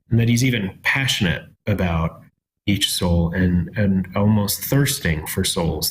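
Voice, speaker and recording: A lower-voiced man in his thirties gives a reflective testimony as a talk-show guest. He pauses often and searches for his words. A faint hiss of background noise sits under his voice. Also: rough recording. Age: 30s. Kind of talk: sharing a personal faith testimony.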